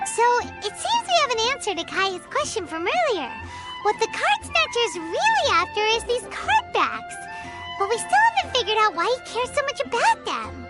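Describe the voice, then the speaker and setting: A woman voicing a small, childlike, perky and curious fairy companion in a video game, squeaky and excitable. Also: moderate pace, over loud music, full character voice. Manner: excitable, refers to self in third person.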